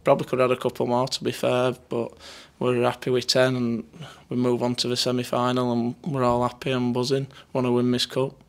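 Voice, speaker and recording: Male, twenties, Northern English footballer in post-match interview mode. Very monotone delivery, matter-of-fact, mid-pitched. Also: fairly quick, few pauses. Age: 20s. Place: indoors in front of a sponsor board. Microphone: handheld interview microphone held near him.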